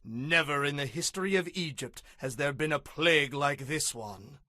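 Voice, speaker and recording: A man's voice: a little high, moderate pace, sharp tone, no room sound, studio quality. An actor playing a firm, commanding male prophet in an audio Bible drama.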